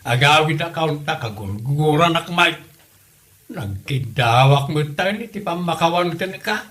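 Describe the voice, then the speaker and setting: A man's voice: on the high side, animated, unhurried, fairly bright tone. A man playing an older Ilocano father, earnest and easily hurt, in a comedy drama. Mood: hurt, pleading. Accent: Filipino, Ilocano. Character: older father, wounded, earnest suitor to his in-law.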